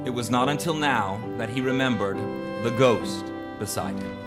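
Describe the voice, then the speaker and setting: Male storyteller over loud music. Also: medium pitch, moderate pace. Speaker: narrator. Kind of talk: scripted radio drama narration. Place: live stage performance.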